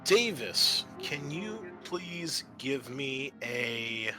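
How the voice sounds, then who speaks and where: A man narrating a tabletop roleplaying game as game master, pausing often mid-sentence. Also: mid-range voice, sing-song, music in the background.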